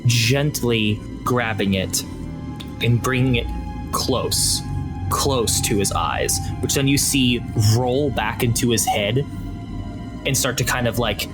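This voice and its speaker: A game master narrating a tabletop roleplaying game in unhurried, descriptive prose. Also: mid-range voice, music in the background, rough recording.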